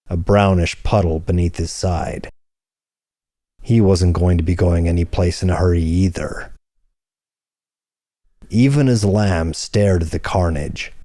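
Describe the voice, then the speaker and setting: A man reading hardboiled crime fiction as an audiobook narrator, in a measured, deliberate storytelling manner. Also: sits low, dark tone, no room sound, clean studio sound.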